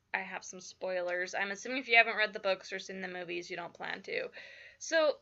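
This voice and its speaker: A woman teaching writing craft in a casual spoken lesson, chatty with lots of fillers, her voice a little croaky from just waking up. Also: medium pitch, bright, forceful tone, dull audio. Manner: chatty, many fillers like "like" and "you know".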